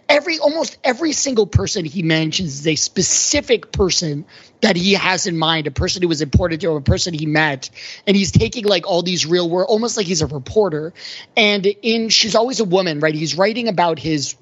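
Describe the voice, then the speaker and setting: A man's voice: sits high, animated, a little fast, a bit bright, slightly rough, muffled recording. A man speaking as a podcast panelist, casually explaining pop culture and checking in with "like" and "right?".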